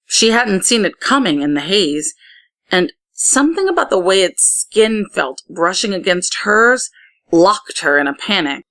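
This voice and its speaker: A woman narrating a children's story in an expressive read-aloud style, putting emphasis on key words. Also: medium pitch, unhurried. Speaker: story reader.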